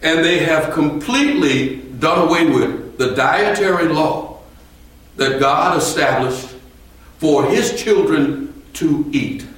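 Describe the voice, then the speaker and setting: A man preaching a sermon in a temple, his voice a little strained, speaking slowly and deliberately and rising to emphatic points. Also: a little high, a bit bright. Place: temple sanctuary. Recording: echoey hall from far off.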